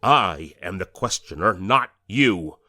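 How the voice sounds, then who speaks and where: An actor playing a pompous, menacing government interrogator, formal and domineering, in an audio drama. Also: male voice, sits low, lively intonation, slow, pushed and bright, full character voice. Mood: threatening.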